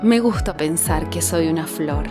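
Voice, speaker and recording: A woman's voice: low voice, a little slow. A woman reading a poetic, reflective voice-over narration. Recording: voice-over over clear music.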